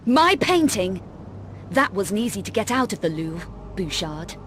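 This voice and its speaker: A woman's voice: mid-range voice, sing-song, measured pace, slight background noise. An actress playing a tough, cool, sardonic young female adventurer in a video game, speaking in a curt, dry, probing manner. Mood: demanding. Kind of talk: dramatic video game cut-scene acting. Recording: loud music under the voice.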